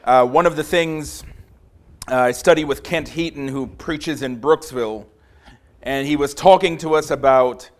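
Passionate big-voiced preacher from Trinidad. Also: male voice, medium pitch, a little slow. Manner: passionate, grows louder as he preaches. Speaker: visiting preacher. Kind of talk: sermon. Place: small room.

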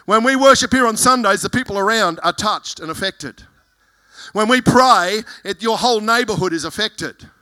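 A man preaching a fervent sermon as pastor, with a loud voice, reading scripture verses aloud. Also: high voice, normal speed.

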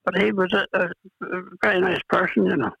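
An older man from Ireland with a cold, reminiscing as an interview guest, halting with many restarts and fillers. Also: sits high, fairly even intonation, creaky, bright, forceful tone, slightly rough, no echo, low-quality recording. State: has a cold, clears throat. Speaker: retired golfer, guest.

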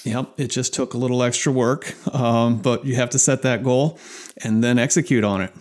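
A podcast host asking a guest questions in a curious, unhurried way. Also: medium pitch, steady tone, darkish tone. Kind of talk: interview conversation.

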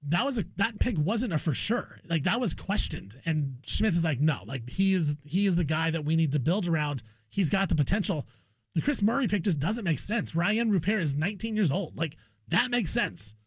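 High-voiced American man talking sports. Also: lively intonation, normal speed, husky, dry recording. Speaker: sports talk show guest host. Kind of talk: casual sports radio discussion.

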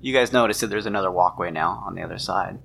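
Gravelly man narrating a tabletop game. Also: low voice, fast talker, a bit bright, a little hiss or hum. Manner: conversational, descriptive. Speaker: game master. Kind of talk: improvised narration of a tabletop horror-mystery game. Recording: music playing behind the voice. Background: background music.

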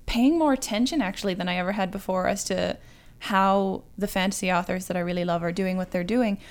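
Mid-pitched woman in casual book chat. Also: fairly quick. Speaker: writer, podcast guest. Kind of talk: casual literary discussion.

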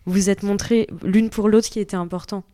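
A woman's voice: medium pitch, steady tone, fairly quick, mellow, dry recording. A woman interviewing an artist in a casual chat, hedging and restarting as she frames her thoughts. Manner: tentative, hedging, many restarts.

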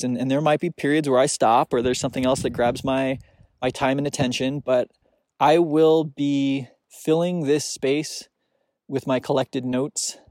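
A man's voice: mid-range voice, a little mellow, no room sound, clean studio sound. A man hosting his own podcast, talking through his thoughts in a reflective solo monologue with a soothing voice, often stopping to restart, recorded outdoors on a mountain hike. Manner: halting, searching, with many restarts and fillers. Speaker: podcast host.

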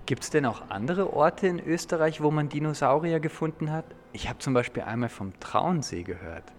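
A man's voice: a little high, animated, normal speed, natural voice. Guy doing a curious dino-fan boy for a kids radio play, eager and friendly, slight background noise. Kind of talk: audio play for young listeners.

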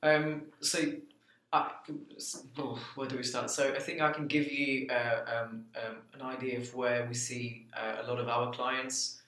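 A man with a Bulgarian accent speaks as a panel guest, picking his way through answers with many fillers and restarts. His delivery stays level and flat. The room around him rings with a noticeable echo. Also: mid-range voice, measured pace. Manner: hesitant, lots of fillers and restarts. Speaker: panel guest, recruiting expert. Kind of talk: panel discussion. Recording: echoey room.

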